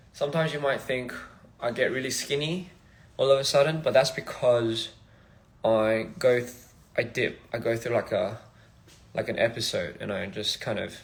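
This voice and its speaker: A young man from Australia, a musician chatting casually on a phone livestream in a halting, rambling, soft-spoken way. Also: medium pitch. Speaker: musician streaming live. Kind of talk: casual live-stream chat.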